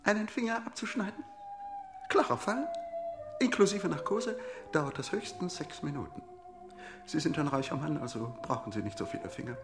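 An actor playing a pushy, cheerfully callous surgeon in a German radio play, theatrical and wheedling. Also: male voice, a little high, lively intonation, normal speed, pauses often, music in the background. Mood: smug salesmanship. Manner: theatrical, wheedling, brushing doubts aside.

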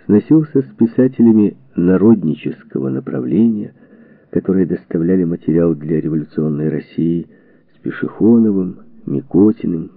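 A man reading a historical book aloud as an audiobook narrator, with measured, expressive storytelling and deliberate phrasing. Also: low-pitched, dry recording. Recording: dull phone-like narrow-band sound, dry.

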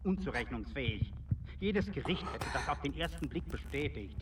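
A man's voice: mid-range voice, expressive, normal speed, natural voice. A man playing an agitated, cornered husband and secret informer who justifies himself insistently in a radio crime drama. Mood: agitated, defensive. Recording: distant from mic, noisy.

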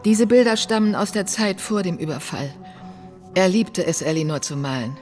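A woman's voice: on the low side, normal speed, darkish tone, faint music behind, lightly acted. An actress playing a sombre, guarded sister recounting her brother's life in a radio drama. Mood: wistful. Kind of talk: German radio drama acting.

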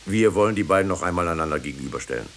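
An actor playing a stern, commanding judge who will not bend, in formal 1950s German radio-drama style. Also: male voice, sits low, lively intonation, rapid-fire, a bit bright, a little hiss or hum. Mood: cold, businesslike. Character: stern, unyielding male judge of authority.

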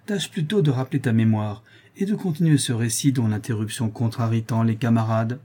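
Naval officer for audiobook, male reader acting: stern, authoritative, formal, medium pitch, slightly rough-edged. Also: fairly quick, dark tone, natural voice. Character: stern, commanding naval lieutenant addressing his crew. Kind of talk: acted audiobook character dialogue.

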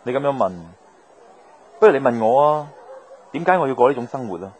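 A man's voice: medium pitch, animated, fairly quick, darkish tone, slight background noise, lightly acted. An actor playing an easygoing, philosophical male wanderer in a Cantonese radio drama.

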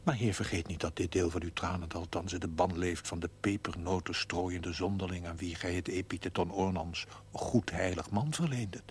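Deep, formal manservant played by a male actor, pompous, ornate diction, TV period drama, slight tape noise. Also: steady tone, normal speed, hardly any pauses, natural voice. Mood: solemn, concerned. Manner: archaic, florid, ornate phrasing. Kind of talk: television period drama acting. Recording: faintly noisy old television soundtrack.

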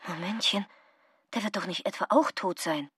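An actress playing an awed, uneasy woman at a supernatural gathering in a radio play, with a husky, breathy voice. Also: medium pitch, moderate pace, a bit bright, in a large hall. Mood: startled unease.

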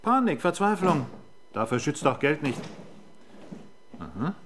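An actor playing a dry, matter-of-fact police forensic doctor in a German radio crime drama. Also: male voice, fairly high pitch, big pitch swings, a little slow, background noise. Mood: wry, matter-of-fact.

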